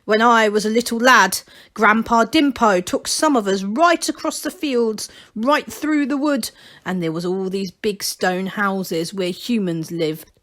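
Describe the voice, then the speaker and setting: A woman's voice: on the high side, fairly bright tone. A woman voicing a stubborn old male gnome who rambles insistently in rustic dialect, as in a storybook.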